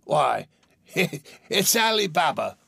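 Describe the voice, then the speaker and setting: A man voicing an excitable, sentimental old miser in a storybook, exclaiming and stammering between laughter and tears. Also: mid-range voice, a little slow, gravelly, dry recording, studio quality. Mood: ecstatic, excited. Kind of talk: dramatised storybook reading.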